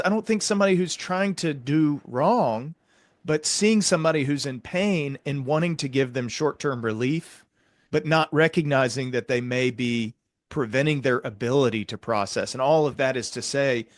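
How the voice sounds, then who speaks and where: A man hosting a podcast as a clinician, giving conversational clinical commentary in a measured, explanatory way. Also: mid-range voice, dry recording.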